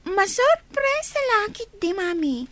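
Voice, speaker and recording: A woman's voice: extremely high pitch, big pitch swings, a little slow, fairly bright tone, no room sound, heavily acted. A woman playing a sweet, eager young daughter in a radio drama. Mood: excited, sweet.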